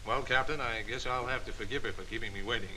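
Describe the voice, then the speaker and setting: An actor playing a gruff, impatient Army colonel in a 1940s training film, speaking curtly. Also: male voice, mid-range voice, fast talker, pushed and bright, poor audio. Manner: curt, commanding. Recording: 1940s film soundtrack with faint hiss.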